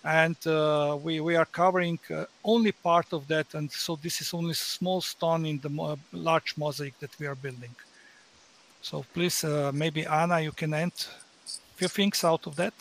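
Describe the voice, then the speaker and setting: Halting man with a higher-pitched voice. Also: fairly even intonation, vocal fry, a bit bright. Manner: halting, hesitant phrasing. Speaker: panelist, master's programme representative. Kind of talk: panel discussion, unscripted talk.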